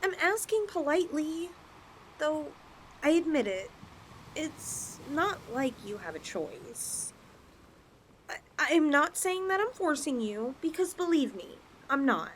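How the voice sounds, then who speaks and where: A woman's voice: sits high, sing-song, slow, slight background noise, exaggerated voice. A woman voicing a possessive, flirtatious lamia in a roleplay ASMR style, coaxing her chosen mate with sweet condescension. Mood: condescending, insistent.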